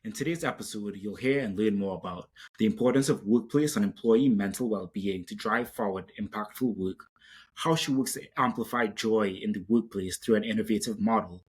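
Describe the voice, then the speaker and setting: Male podcast host and interviewer, fairly low register, husky texture. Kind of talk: podcast intro and interview hosting.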